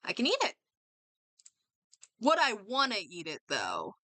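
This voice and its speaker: A woman's voice: mid-range voice, huge pitch swings, measured pace, bright, forceful tone, no echo. A woman livestreamer chatting casually as she games, reacting and thinking aloud, often doubling back on her words. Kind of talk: casual chat while gaming, reacting aloud.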